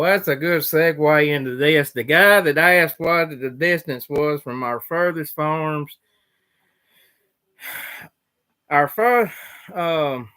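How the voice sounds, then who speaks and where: A man's voice: a little high, pushed and bright, clear, resonant tone. A man, a farmer chatting casually on a livestream and answering viewer questions. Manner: drawling, plainspoken, trails off mid-sentence.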